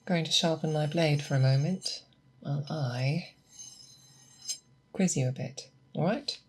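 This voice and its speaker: Asmr performer doing a calm seasoned monster hunter mentor, really slow talking, patient, teaching the apprentice. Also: mid-range voice, breathy edge. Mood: patient, encouraging. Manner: slow, hesitant, instructive, frequent check-ins. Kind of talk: ASMR roleplay, scripted.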